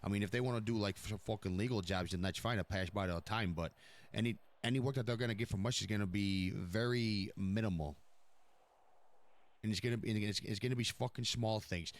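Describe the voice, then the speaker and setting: A man's voice: lowish voice, fairly quick. A man chatting casually in online roleplay, blunt and swearing heavily. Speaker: streamer playing a mob boss in online roleplay. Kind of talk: casual in-character roleplay chat.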